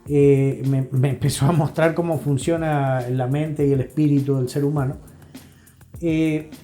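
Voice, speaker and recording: An older man with an Argentine accent talks like a therapist being interviewed. His voice is soft-edged and mellow, his delivery didactic and emphatic. The room echoes, with faint music underneath. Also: fairly high pitch, fairly even intonation, measured pace. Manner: emphatic, didactic, repeats phrases. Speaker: guest therapist. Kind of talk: reflective interview talk. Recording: roomy echo, faint music.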